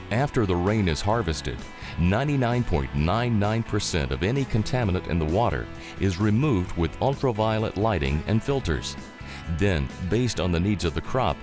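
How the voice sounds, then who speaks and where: A male narrator delivers scripted promotional copy for a documentary. His voice sits low and moves in animated contours. Faint music plays behind him.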